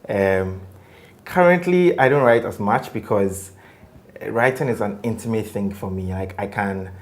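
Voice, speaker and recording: A man, a tech company co-founder, talking in a relaxed and reflective way as the guest of an interview, with many fillers. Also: fairly low pitch, a little slow. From Ghana. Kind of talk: casual interview conversation.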